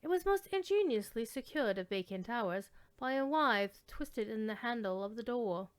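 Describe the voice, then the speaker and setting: A woman reads classic fiction aloud as a storyteller. Her voice is fairly high, smooth and clear. She takes a measured, theatrical approach and lingers over descriptive words. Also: expressive. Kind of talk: dramatic reading of classic literary fiction.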